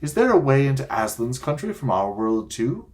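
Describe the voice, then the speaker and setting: A man's voice: medium pitch, sing-song, moderate pace, a little mellow, pitched above the performer's own voice, close to an everyday voice. A man voicing an eager young boy who asks questions in a raised, earnest voice.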